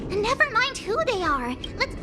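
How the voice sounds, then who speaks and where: Very high, perky girl adventurer. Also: sing-song, measured pace, full character voice. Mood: determined. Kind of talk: video game voice acting. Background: game music.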